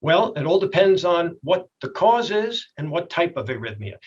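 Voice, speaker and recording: A middle-aged or older man with a slightly husky voice, a doctor explaining things in a plainspoken, folksy way in a TV health interview. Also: on the high side, big pitch swings, normal speed, muffled recording, slight background noise. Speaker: doctor, expert guest.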